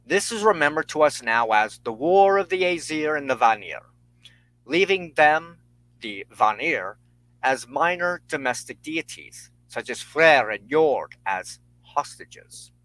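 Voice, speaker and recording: A man's voice: a little high, animated, unhurried, a bit bright, no echo, poor audio. Man lecturing earnestly in a dry recording. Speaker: host of a spirituality talk show. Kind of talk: solo lecture on folklore, partly read from notes.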